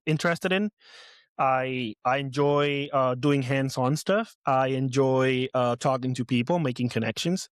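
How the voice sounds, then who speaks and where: A man's voice: mid-range voice, clear, resonant tone, no room sound, studio quality. A man in his twenties from Puerto Rico, hosting his own podcast in a rambling, nervous way with many fillers and restarts. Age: 20s. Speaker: podcast host. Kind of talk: casual personal monologue.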